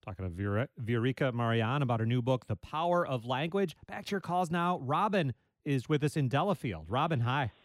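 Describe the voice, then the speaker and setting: A man's voice: mid-range voice, animated, fairly quick, dry recording. A man hosting a call-in radio show, talking in a friendly, conversational way. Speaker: radio talk show host.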